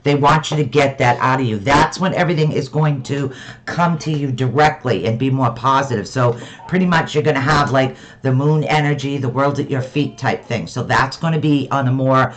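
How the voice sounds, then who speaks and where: A soft-spoken man runs a psychic call-in show on the radio. He talks in a reassuring way, with frequent ums, in a voice of ordinary pitch. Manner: reassuring, fills pauses with um.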